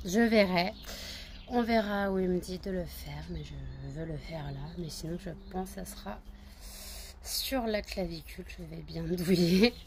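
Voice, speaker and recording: A woman's voice: on the low side, unhurried, slight background noise. A woman vlogger chatting casually to camera, rambling with many fillers and repeated words. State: just woken up, groggy.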